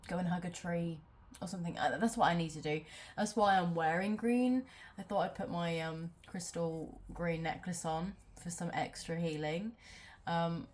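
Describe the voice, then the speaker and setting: A woman's voice: fairly low pitch, a little mellow, a little hiss or hum. A woman doing a casual tarot reading at home, sounding nasal from a cold and rambling with many ums. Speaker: tarot reader.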